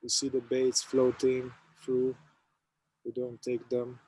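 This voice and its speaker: A man, a somatic therapist, leading a guided meditation, speaking slowly and softly in short phrases with long pauses. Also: medium pitch, monotone, slightly rough, rough recording. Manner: slow, soft-spoken, with long pauses between phrases.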